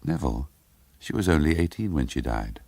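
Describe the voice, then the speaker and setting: A man's voice: very deep voice, very animated, fairly quick, vocal fry, no echo, full character voice. A man reading aloud as a young English wife, curious, impulsive and a little timid, in a radio story. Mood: surprised, curious. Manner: eager questioning, hushed self-reassurance.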